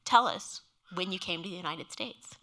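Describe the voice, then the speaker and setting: A woman moderating an onstage interview, telling background in a measured, earnest way. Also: on the low side, pushed and bright. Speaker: moderator of a speaker series. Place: museum stage before an audience.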